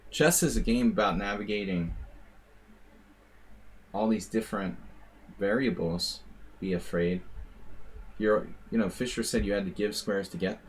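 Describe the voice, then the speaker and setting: A man's voice: lowish voice, slight background noise. An American man giving casual, rambling live commentary on his chess games, with wry asides and plenty of fillers. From United States. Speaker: chess streamer.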